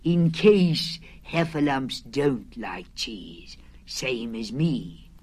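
A woman voicing a slow, honey-loving male teddy bear, talking low and dreamy and sometimes sinking to a whisper. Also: lively intonation, darkish tone, breathy edge, exaggerated voice. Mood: musing.

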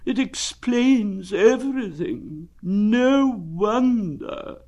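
A man voicing a gloomy old grey male donkey in a storybook reading, talking slowly and mournfully. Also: high voice, animated, darkish tone, lo-fi sound, voice pushed up, full character voice. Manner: slow, sad and mournful.

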